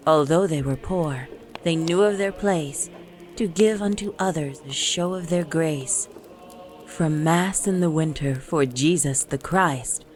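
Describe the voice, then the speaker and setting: A woman narrating a rhymed Christmas story in verse with a measured, lilting delivery. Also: low-pitched, darkish tone, a little hiss or hum. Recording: music bed under the voice. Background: music.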